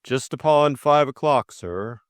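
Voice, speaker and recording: A man voicing a stiff, deferential valet in an audiobook, speaking in a measured, unflappable, scrupulously polite way. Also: mid-range voice, expressive, no echo, clean studio sound, pitched above the performer's own voice, natural voice. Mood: dutiful, composed. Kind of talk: audiobook character acting.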